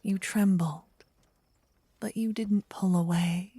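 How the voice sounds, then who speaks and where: A woman playing a gentle, possessive, lovestruck vampire in a soft, intimate, breathy ASMR whisper, close to the ear. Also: medium pitch, steady tone, no room sound. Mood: tender, yearning. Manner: intimate, slow, teasing. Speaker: ASMR roleplay performer. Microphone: close binaural ear-to-ear microphone.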